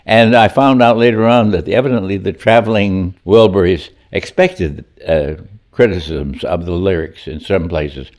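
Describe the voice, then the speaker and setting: A man's voice: sits low, moderate pace, darkish tone. An older man, a chorus member, telling stories in a chatty, wry way during a documentary interview.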